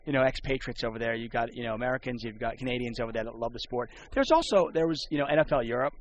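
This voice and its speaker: A man's voice: mid-range voice, racing, dull audio, slight background noise. A man who works as a poker and sports broadcaster, chatting casually on a podcast and rambling with many restarts.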